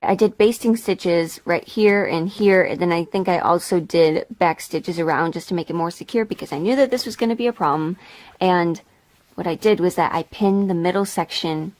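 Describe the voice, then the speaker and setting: A woman's voice: fairly low pitch, moderate pace, darkish tone. A woman chatting casually as she hosts a sewing vlog, thinking aloud in a rambling, self-deprecating way. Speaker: video host and hobby historical seamstress.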